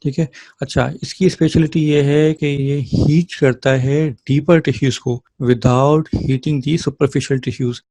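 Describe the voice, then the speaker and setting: A man's voice: mid-range voice, steady tone, takes it slowly, dark tone, no echo. A man lecturing as a physiotherapy teacher, explaining things step by step in an informal way and often checking in with listeners.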